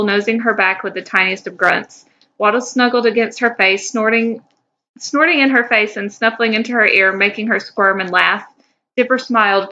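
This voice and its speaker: A woman's voice: mid-range voice, sharp tone, lo-fi sound. A woman speaking as a podcast co-host who chats and reads her own fan fiction. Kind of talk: casual chat and reading own fan fiction aloud.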